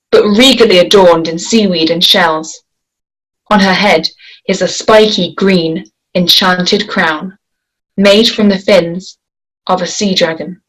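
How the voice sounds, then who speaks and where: A woman reading a screenplay aloud in a measured, descriptive way, giving action lines and scene headings. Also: on the low side, fairly bright tone, low-quality recording. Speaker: script reader. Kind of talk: screenplay table read.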